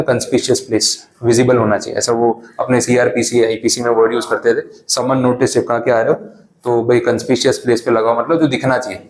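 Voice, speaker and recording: A man lecturing a class in an Indian accent, mixing in English, explaining with everyday asides and reading legal text slowly. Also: mid-range voice. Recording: echoey classroom.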